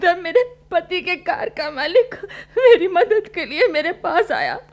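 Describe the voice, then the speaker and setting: High-pitched desperate widow. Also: female voice, sing-song, unhurried, highs cut off, faint music behind, exaggerated voice. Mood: sorrowful recounting. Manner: pleading, emotional, melodramatic delivery. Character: desperate widowed housewife and mother, pleading and anguished. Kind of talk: Hindi radio play acting.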